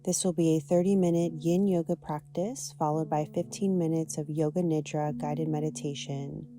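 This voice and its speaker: A woman teaching yoga, guiding a practice in a gentle, soothing, unhurried manner. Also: deep voice, steady tone, over music. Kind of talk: guided yoga and meditation instruction.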